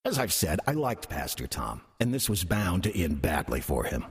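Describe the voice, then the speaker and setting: A weary old-world demon for a radio play, deep and really husky, dry and snooty, voice swings around a lot. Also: male voice, big pitch swings, dry recording, exaggerated voice. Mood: wry, confiding. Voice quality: husky, low voice. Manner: dry, urbane, condescending, measured. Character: weary, sardonic male demon posing as church deacon. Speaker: narrator and lead character of audio drama. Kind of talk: audio horror-comedy drama acting.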